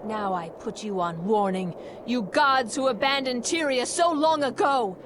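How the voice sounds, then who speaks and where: A woman's voice: a little high, unhurried, a little hiss or hum, natural voice. A woman playing a grieving, defiant warrior in a video game cutscene, solemn and bitter.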